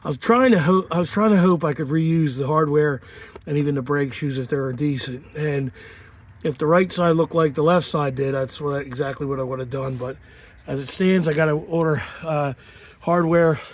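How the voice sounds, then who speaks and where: A man's voice: on the high side, normal speed. A man talking casually through a home repair job outdoors, rambling with lots of fillers and restarts, sniffling from a lingering cold. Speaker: home mechanic. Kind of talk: casual garage how-to.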